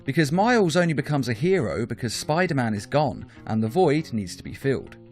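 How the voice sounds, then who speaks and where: Lively male countdown narrator over music. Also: medium pitch, brisk. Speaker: host of a top-five countdown video. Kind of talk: scripted narration, video essay commentary. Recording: music behind the voice.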